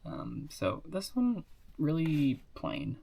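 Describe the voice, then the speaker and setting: A man's voice: fairly high pitch, wildly swooping pitch, slow, gravelly, warm tone, slightly rough. A sick, sniffly man casually showing off toy sets in a rambling way, with lots of fillers and asides. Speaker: hobbyist reviewing toy building sets. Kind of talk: casual show-and-tell.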